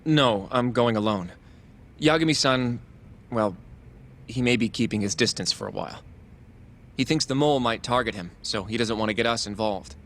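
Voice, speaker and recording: A man's voice: medium pitch, normal speed, fairly bright tone, slight background noise, close to an everyday voice. A man acting an earnest, polite young lawyer in an English video game dub. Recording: faint music behind the voice.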